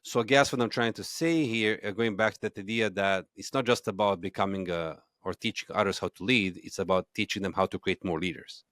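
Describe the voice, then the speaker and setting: A man's voice: lowish voice, steady tone, a little fast, hardly any pauses, a bit bright, no echo, studio quality. A man in his thirties from Serbia, a native Serbian speaker, telling stories as a podcast guest, with frequent restarts and fillers. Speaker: guest, movement founder. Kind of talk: conversational podcast interview.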